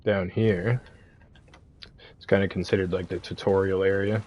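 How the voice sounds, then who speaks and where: Male streamer, deep and monotone, laid-back guide commentary for a video game. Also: normal speed, highs cut off. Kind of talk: casual gameplay walkthrough commentary.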